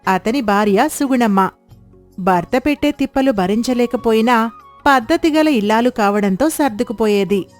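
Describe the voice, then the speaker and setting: A woman's voice: fairly high pitch, moderate pace, soft background music. A woman narrating a scripted moral story as the storyteller.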